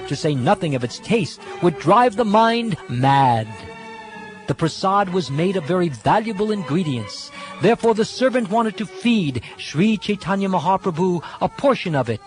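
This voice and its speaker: A man reads devotional scripture as dramatic narration, deliberate and expressive, drawing out the ends of phrases. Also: sits high. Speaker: scripture reader. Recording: sitar music behind the voice. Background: sitar music.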